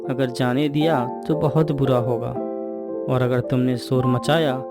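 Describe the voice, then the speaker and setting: A man playing a lecherous, menacing young villain in animated moral-story dialogue, leering and brazen. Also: medium pitch, unhurried, over loud music, natural voice. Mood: threatening.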